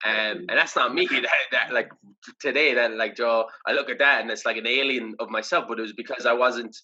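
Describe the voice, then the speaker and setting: A man's voice: mid-range voice, steady tone, a little fast, sharp tone, dull audio. A young Irish man in casual interview chat, reflective and loose, full of fillers and restarts. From Ireland. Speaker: podcast guest.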